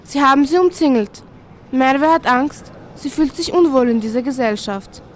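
A young woman from Serbia, Serbian native speaker, narrating a poetic radio feature in a calm, measured way. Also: high-pitched, slight background noise. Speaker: student narrator.

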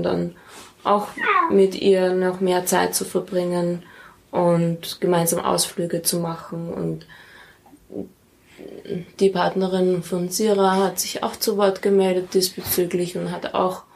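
A woman's voice: on the low side, vocal fry, darkish tone, slight background noise. A woman talking thoughtfully and candidly in a conversational interview. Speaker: interviewee, parent in a polyamorous family.

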